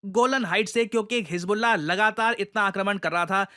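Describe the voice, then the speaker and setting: A man's voice: sits high, fairly quick, pushed and bright. A man with an Indian accent giving emphatic explanatory news commentary, addressing listeners directly and mixing in English phrases.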